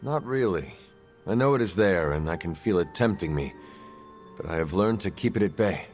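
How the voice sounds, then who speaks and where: Game lead: low, stoic, formal hero, supportive, with a long reverb on the voice. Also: male voice, expressive, darkish tone, soft background music. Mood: calm, resolute. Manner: formal, measured, few contractions. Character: stoic male demon-tempted hero, reserved and supportive. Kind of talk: video game dialogue acting.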